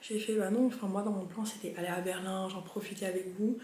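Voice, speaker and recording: A young woman vlogging as an au pair, chatting in a lively, slangy way. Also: mid-range voice, fast talker. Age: young adult. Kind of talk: casual chat. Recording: very echoey room with music.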